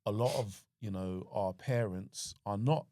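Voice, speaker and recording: A young man with a fairly low voice co-hosting a casual podcast. He asks short prompting questions and keeps the talk going with steady "mm-hmm"s. The close, dry sound has no room echo. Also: measured pace. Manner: interviewing, frequent affirming "mm-hmm". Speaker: podcast co-host.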